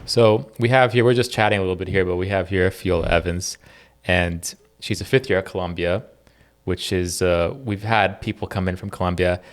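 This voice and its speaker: Male podcast host, very low voice, chatty and curious, loose casual delivery. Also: fairly even intonation, moderate pace.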